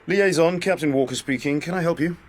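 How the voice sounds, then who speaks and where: An actor playing a polite, evasive British military officer in a radio drama, hesitant and officious. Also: male voice, a little high, fairly quick, background noise, lightly acted. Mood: polite, puzzled.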